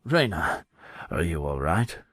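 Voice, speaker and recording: Man playing low, composed, devoted companion. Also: very animated, fairly bright tone, no room sound, clean studio sound, heavily acted. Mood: quiet concern. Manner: formal, measured, earnest. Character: composed, formal, devoted male companion, calm and loyal. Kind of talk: audio drama acting. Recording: music bed under the voice.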